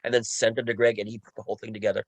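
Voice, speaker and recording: A man's voice: fairly low pitch, flat delivery, very fast, no room sound, low-quality recording. A man from the United States with a light tenor voice, chatting casually in a panel discussion about acting. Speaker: voice actor in the radio play.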